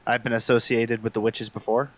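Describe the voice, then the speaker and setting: A man's voice: medium pitch, little pitch movement, a little fast. Man roleplaying on a dull lo-fi line. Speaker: player in a tabletop roleplaying game. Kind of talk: casual improvised tabletop roleplay. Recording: dull, lo-fi voice call.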